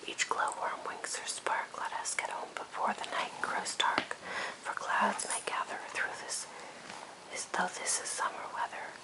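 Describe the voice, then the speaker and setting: A person reading a poem in an unvoiced ASMR whisper, phrase by phrase with gentle pauses. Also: rough recording. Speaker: ASMR reader.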